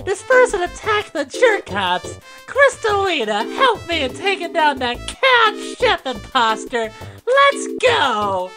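A woman's voice: extremely high pitch, huge pitch swings, slow, heavily acted. A woman voicing a heroic young male hedgehog superhero in an amateur comic dub. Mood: heroic, commanding. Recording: faint music behind.

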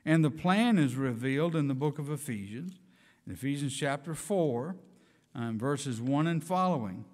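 A man's voice: mid-range voice, expressive, normal speed, a little mellow. Older man preaching in a reverberant church. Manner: earnest, emphatic preaching with scripture readings. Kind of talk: sermon. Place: church sanctuary, speaking from a pulpit. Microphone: pulpit microphone.

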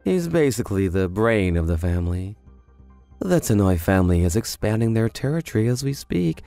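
Man as a cool, measured underworld informant. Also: on the low side, big pitch swings, dark tone, dry recording, soft background music. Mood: grave, informative. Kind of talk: animated manga dub dialogue.

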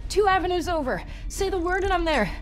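A woman's voice: very high voice, expressive, brisk, soft background music. A woman voicing an eager young sidekick in video game dialogue.

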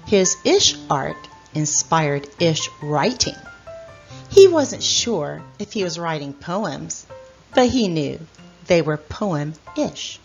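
A middle-aged woman reading a children's picture book aloud as host, in a deliberate, expressive storyteller manner. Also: sits low, gravelly, highs cut off, faint music behind.

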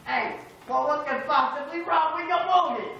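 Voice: high voice, normal speed, vocal fry, bright, forceful tone, a little hiss or hum, exaggerated voice. A puppeteer voicing a rude, blustering bank manager who scorns his customers, in a live puppet show. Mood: scornful. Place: small indoor puppet stage. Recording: echoey, dull room sound from far off.

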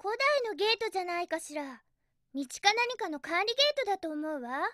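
Young cartoon hedgehog girl, video game, extremely high, piercingly bright, perky, sassy, cheerful. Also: very animated, fairly quick, dry recording, clean studio sound, full character voice. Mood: curious. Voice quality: bright, girlish. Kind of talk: Japanese video game voice acting.

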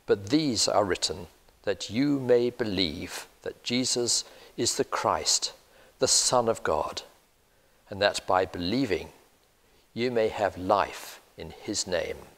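Older male reader, slow, deliberate scripture reading with church reverb. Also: lowish voice. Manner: steady, deliberate reading aloud. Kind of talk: Bible reading. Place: church, indoors, seated at a lectern.

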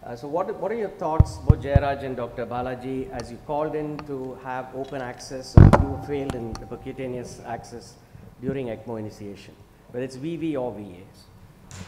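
Middle-aged guy running a panel at a podium, talks steady with lots of uhs, long hall reverb. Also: medium pitch. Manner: measured, frequent fillers. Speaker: panel moderator. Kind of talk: conference panel moderation. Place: large conference hall, at a podium. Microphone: podium microphone. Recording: echoey hall with clear noise.

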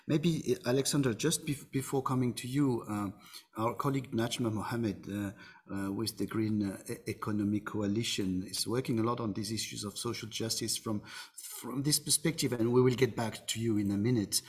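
A man moderating an online panel discussion, hesitant and filling pauses often. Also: fairly low pitch, fairly even intonation, breathy edge. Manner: hesitant, many fillers.

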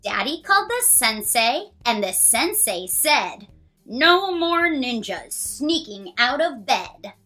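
A woman in her thirties reading a children's picture book aloud, expressive and sing-song, with drawn-out lines and counting along. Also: on the high side, soft background music.

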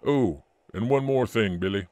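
A man's voice: lowish voice, normal speed, warm tone, no echo, close to an everyday voice. A man playing a friendly, earnest blind street informant in an old-time radio drama, talking in a folksy way.

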